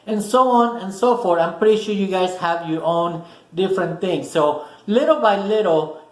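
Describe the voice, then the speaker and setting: A man with a Spanish accent and a fairly high voice talks through a self-help lesson in short, patient phrases, often repeating himself. His first language is Spanish. The room around him is echoey, so his voice rings a little. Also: normal speed. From Spanish-speaking country. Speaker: self-help video host. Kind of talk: explanatory talk to camera.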